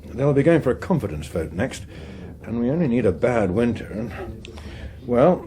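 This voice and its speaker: An actor playing a suave, unflappable veteran chief whip in a radio drama, with an English accent and a smooth, wry, understated manner. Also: male voice, mid-range voice, very animated, moderate pace, dark tone, close to an everyday voice. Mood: dry, knowing. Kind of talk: naturalistic radio drama acting.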